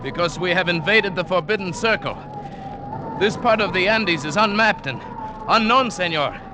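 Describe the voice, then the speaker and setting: An actor playing an earnest, superstitious South American mountain guide telling his perilous adventure in a measured, dramatic 1940s radio style. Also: high voice, noisy background, natural voice. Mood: grave, wary. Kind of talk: 1940s-50s radio drama acting and narration. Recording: vintage radio recording with music.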